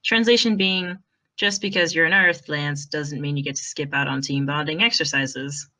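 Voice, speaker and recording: Bright, lower-pitched woman narrator. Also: steady tone, normal speed. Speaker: narrator of a group fan fiction reading. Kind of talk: expressive storybook-style narration, chatty between takes.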